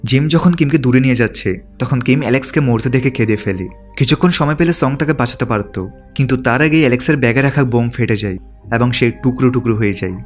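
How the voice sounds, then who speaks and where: A man reading a scripted story recap as a narrator. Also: medium pitch.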